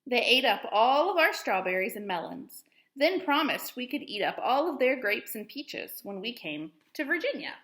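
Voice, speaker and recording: Female schoolteacher for children's story reading: highish voice, expressive phrasing, roomy echoing sound. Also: moderate pace. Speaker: teacher reading aloud to pupils. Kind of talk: storybook read-aloud for children.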